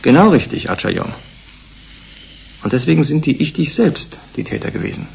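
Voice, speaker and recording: An actor playing a blunt, analytical investigator in a German radio play, reasoning deliberately and emphatically. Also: male voice, a little high, sing-song, gravelly, a little mellow, breathy edge, full character voice. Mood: deducing, convinced. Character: blunt, analytical male investigator on a research committee. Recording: 1980s radio play, faint noise, ambience.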